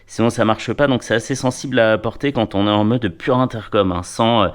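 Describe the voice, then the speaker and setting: A man with a fairly low voice presents a product in a casual, hands-on way. His delivery is wry and playful, and he often mutters to himself or addresses the gear as he goes. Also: steady tone, fairly quick. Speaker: product reviewer.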